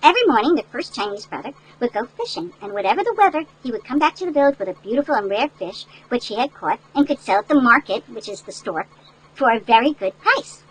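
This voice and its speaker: Older female storyteller for children's picture book: high-pitched, very animated, folksy asides, faint background noise. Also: fast talker, low-quality recording. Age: older, great-grandmother. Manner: folksy, explains words to young children. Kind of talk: animated read-aloud with chatty asides. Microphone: headset.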